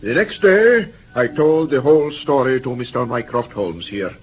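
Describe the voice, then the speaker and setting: An actor playing an anxious interpreter who recounts an ordeal in earnest, precise, formal phrases in a radio drama. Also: male voice, medium pitch, big pitch swings, moderate pace, natural voice. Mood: earnest recounting. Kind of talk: radio drama acting, animated storytelling. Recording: tinny old radio recording, background hiss.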